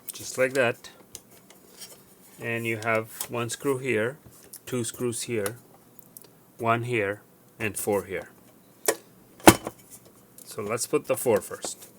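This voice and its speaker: A man with a mid-pitched voice walks through a repair in a casual, practical tutorial style. He goes one step at a time, haltingly, restating himself as he goes. Clear background noise with clicks runs behind him. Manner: step-by-step, halting with self-corrections. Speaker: tutorial presenter. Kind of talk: casual hands-on repair demonstration.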